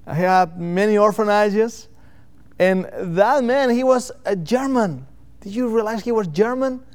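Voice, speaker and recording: High-voiced Catalan-accented man preaching. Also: darkish tone, clean tone. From Catalonia, Spain. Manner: earnest, rhetorical repetition with deliberate emphatic phrases. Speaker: missionary preacher. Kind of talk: sermon.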